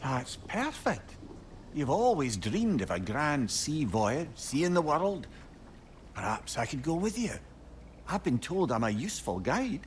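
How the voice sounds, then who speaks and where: An actor with a Scottish accent playing a witty, lovelorn old sage in a video game, expressive and heartfelt. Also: male voice, fairly high pitch, unhurried, slight background noise. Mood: supportive, tender. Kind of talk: dramatic video game voice acting.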